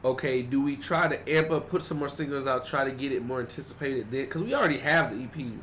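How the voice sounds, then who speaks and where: Give me a young Southern man telling animated stories as a podcast guest, mid pitch. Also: fast talker, slight background noise. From Houston, Texas. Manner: animated storyteller, acts out quotes in a higher voice. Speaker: rapper guest. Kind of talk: casual podcast interview.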